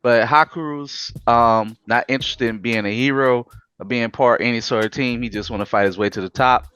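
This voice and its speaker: A man's voice: mid-range voice, fairly quick, clear, resonant tone, faint music behind. Talkative man leading a podcast chat. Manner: talkative, animated, rambling asides. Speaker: podcast host. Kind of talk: casual chat.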